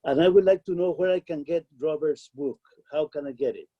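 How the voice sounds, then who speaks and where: A man from Costa Rica, speaking in a formal, measured way on a video call. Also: fairly high pitch, dry recording. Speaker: call participant. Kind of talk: casual video call chat. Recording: dull lo-fi video call audio.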